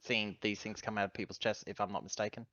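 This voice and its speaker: A man's voice: lowish voice, little pitch movement, fast, sharp tone, no echo. Male tabletop player, hesitant rambling delivery, casual, dry and slightly dull recording. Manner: rambling, hesitant, self-correcting. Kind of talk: casual game talk, describing character actions.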